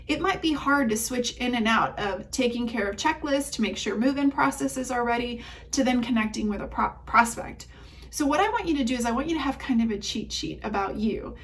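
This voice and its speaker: A woman's voice: fairly high pitch, recorded from a distance. A woman whose first languages were Spanish and German, giving chatty, upbeat coaching tips and laughing often as she talks. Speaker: leasing trainer.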